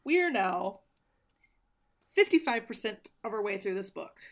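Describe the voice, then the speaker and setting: A woman's voice: mid-range voice, very animated, unhurried. A young woman from Arkansas with a faint, mostly neutral American accent, sarcastically reading a novel aloud and swearing freely in casual podcast chat. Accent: faint General American with little Southern trace. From Arkansas, US South.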